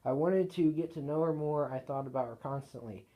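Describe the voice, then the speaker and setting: A man reading his own written story aloud in a casual way, halting and correcting himself as he goes. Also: a little high, dark tone, rough recording.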